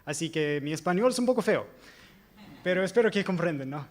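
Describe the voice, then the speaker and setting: A man from the United States presents at a conference in his second language, with a clear American accent. He hesitates often and corrects himself mid-word. His voice is somewhat higher than most men's, and he speaks into a microphone. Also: animated, a little fast. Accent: American English accent in Spanish. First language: English. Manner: hesitant, many fillers and self-corrections. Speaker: invited presenter.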